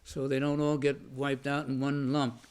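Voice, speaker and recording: An older man, a veteran, recalling his past in an oral history interview, halting with many restarts and fillers and a loud voice. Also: mid-range voice, monotone, clear, resonant tone. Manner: says he has a loud voice.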